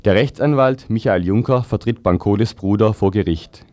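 Low-pitched man reading radio news. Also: moderate pace, a little mellow, dry recording. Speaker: news reader.

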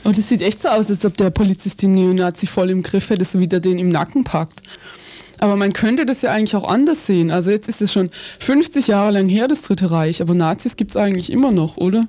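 Mellow-voiced woman commenting on radio. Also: medium pitch, brisk. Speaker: radio co-host. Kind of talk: conversational press commentary.

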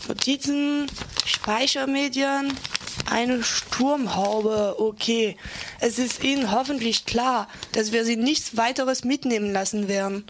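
A woman acting an assertive activist in an amateur radio play, speaking firmly and curtly with defiance. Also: fairly high pitch. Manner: firm, curt, defiant. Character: Anna, assertive young activist woman facing a police search. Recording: noisy amateur recording with clicks.

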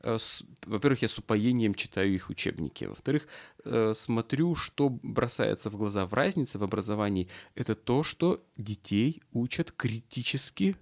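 A man in middle age speaks in a lowish voice. He comments on politics as a discussion guest would, unscripted. His phrasing is searching, with false starts as he builds an argument. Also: expressive, normal speed, creaky. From Russia. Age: middle-aged. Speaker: political commentator, former local political activist.

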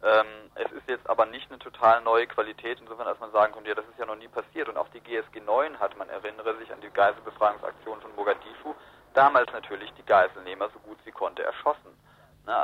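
A man giving analytical, discursive political commentary as a guest in a radio interview. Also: fairly low pitch. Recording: roomy, muffled radio interview.

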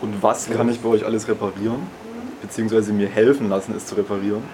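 A man's voice: lowish voice, fast talker, noisy background. A man narrating a radio feature in the first person and interviewing people. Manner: calm first-person storytelling, then interviewing. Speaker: radio reporter.

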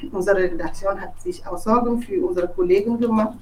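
A woman from Togo with a West African accent speaks German as a non-native, giving a halting, impassioned statement and repeating words for emphasis. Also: medium pitch, darkish tone, recorded from a distance, slight background noise, rough recording. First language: not German. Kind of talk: impassioned spoken statement, unscripted.

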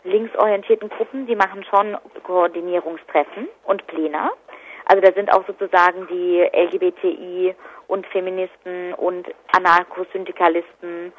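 A woman giving spontaneous interview answers as an activist. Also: lowish voice. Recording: dull, lo-fi telephone line.

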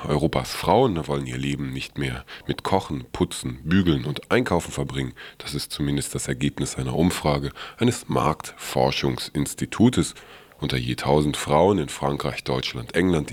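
A man presenting radio news in a somewhat halting, hesitant manner. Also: very deep voice, a little mellow. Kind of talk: semi-scripted radio news reading.

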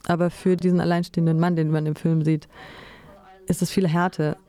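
A woman's voice: on the low side, little pitch movement, fairly quick, warm tone. A woman reading a scripted first-person voice-over translation.

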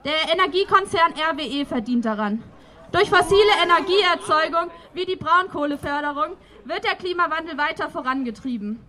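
A woman's voice: high voice, moderate pace, bright, forceful tone. A young woman delivering a prepared political speech as a protest speaker.

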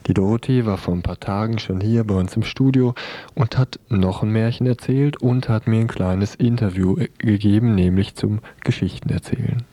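A man's voice: fairly low pitch, moderate pace, dark tone, no echo. A man hosting children's radio from a studio, addressing young listeners.

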